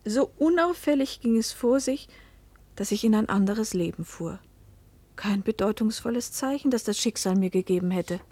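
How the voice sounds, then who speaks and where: An actress plays a pensive middle-aged woman telling her own story in a German radio play. Her voice is husky and sits at a medium pitch. She speaks in a measured, literary way, at times sinking toward a whisper. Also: darkish tone, dry recording, close to an everyday voice. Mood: pensive, fateful. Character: pensive 41-year-old American wife and mother recounting a fateful journey.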